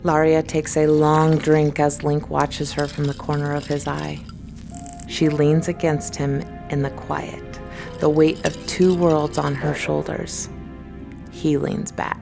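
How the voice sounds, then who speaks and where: A woman's voice: low-pitched, little pitch movement, slow pace, vocal fry, music in the background. A woman narrating a scripted dramatic story as the narrator of an audio drama.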